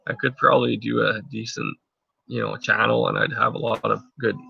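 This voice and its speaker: Gravelly bilingual man chatting from a tent. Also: lowish voice, little pitch movement, fairly quick, dry recording, lo-fi sound. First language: French. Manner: rambling, many fillers and restarts. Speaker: channel host. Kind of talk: casual live chat with viewers. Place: inside a tent.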